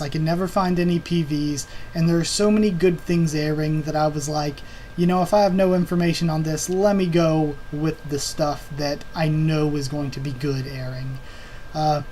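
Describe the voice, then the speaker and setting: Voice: medium pitch, darkish tone. A young adult host chatting casually through a season preview, rambling with lots of ums and uhs, recorded at a home computer desk. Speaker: anime video channel host. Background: computer fan hum.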